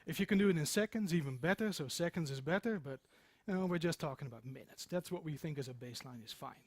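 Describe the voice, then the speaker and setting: A man's voice: a little high, fast talker, dry recording. A middle-aged man giving an informal conference talk, emphatic and repeating phrases for stress. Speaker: conference speaker, software developer. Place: meeting room with seated audience, indoors.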